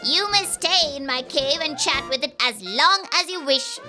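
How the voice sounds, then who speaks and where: Woman doing a squeaky, very bright cunning fox. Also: animated, a little slow, soft background music, exaggerated voice. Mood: mocking. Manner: sing-song, mocking, falsely cheerful. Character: cunning, smart, cheeky male fox. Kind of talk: children's animated storybook.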